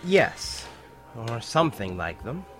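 Animated, hesitant professor played by a man. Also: medium pitch, takes it slowly, soft background music. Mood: earnest, hesitant. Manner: hesitant, filler-laden, explanatory. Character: earnest, hesitant male science professor with a secret. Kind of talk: audio drama acting.

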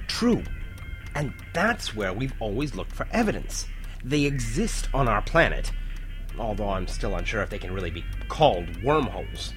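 A man's voice: fairly low pitch, very animated, moderate pace, a bit bright, soft background music. A man playing an earnest science professor in an audio drama, explaining things hesitantly. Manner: hesitant, filler-laden, explanatory.

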